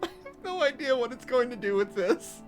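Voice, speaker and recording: A man giving casual playthrough commentary, incredulous and joking, often halting as he types. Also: extremely high pitch, big pitch swings, soft background music. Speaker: streamer playing an AI storybook game.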